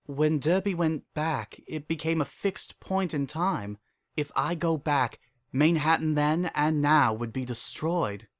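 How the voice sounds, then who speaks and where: A narrator voicing a sorrowful, world-weary pony father in a dramatic story, halting and hollow in delivery. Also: medium pitch, no echo, pitched above the performer's own voice, close to an everyday voice. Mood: grave, regretful. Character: guilt-ridden, weary old time-traveling stallion father.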